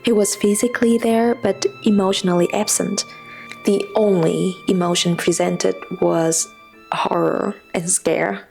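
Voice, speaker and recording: Young non-native woman with slightly creaky voice. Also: medium pitch, fairly even intonation, a little slow, darkish tone, faint music behind. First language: not English. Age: 20s. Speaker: personal vlogger. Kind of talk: reflective scripted personal narration.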